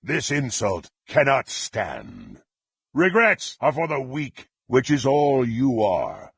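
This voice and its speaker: An actor playing a power-hungry, contemptuous dark warrior in a fantasy video game, with a gravelly, husky voice and haughty, theatrical, archaic phrasing. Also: male voice, medium pitch, huge pitch swings, slow pace, no room sound, full character voice. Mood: furious, threatening.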